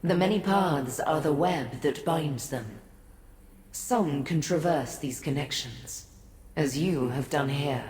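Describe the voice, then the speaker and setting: An actress playing an ancient, aloof godlike being in a fantasy game, speaking formally and with haughty, cryptic condescension. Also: female voice, deep voice, darkish tone. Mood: aloof, intrigued. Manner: measured, formal, condescending to mortals. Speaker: video game character. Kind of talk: scripted fantasy game dialogue. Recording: echoey, long reverb.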